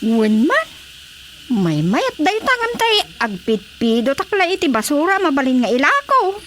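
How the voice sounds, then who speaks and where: An actress with an Ilocano accent playing an excitable, eager village woman in a radio drama comedy. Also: sits high, unhurried, slight background noise, full character voice. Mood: eager, playful. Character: excitable, eager village woman Sita, copying her neighbour.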